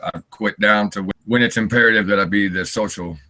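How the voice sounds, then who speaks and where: Raspy fifty-year-old man, bright voice. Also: on the low side, fairly even intonation, brisk. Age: 50s. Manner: wandering, candid, laughs often. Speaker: interview guest, singer. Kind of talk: rambling live interview chat.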